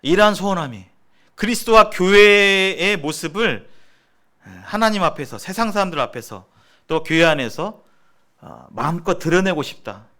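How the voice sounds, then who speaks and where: A man preaching and teaching at a church seminar in an earnest, emphatic, exhorting way, repeating key words deliberately. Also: high-pitched, expressive, bright, forceful tone.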